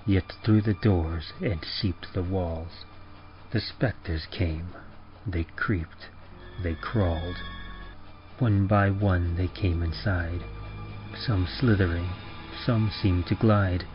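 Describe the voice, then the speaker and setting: A man with a very low voice reads horror poetry like an old radio show. His delivery is grave, theatrical and unhurried. The sound is dull, with prominent music behind him. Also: fairly even intonation. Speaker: podcast presenter reading a horror poem. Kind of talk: dramatic rhymed poetry reading in old-time radio horror manner.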